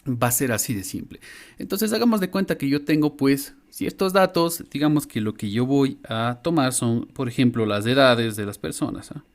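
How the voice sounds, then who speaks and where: A middle-aged man speaks Spanish with a Latin American accent in a medium-pitched voice. He explains material like a university lecturer teaching online from an indoor room. His delivery is informal, with frequent short check-in questions at the ends of phrases. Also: moderate pace.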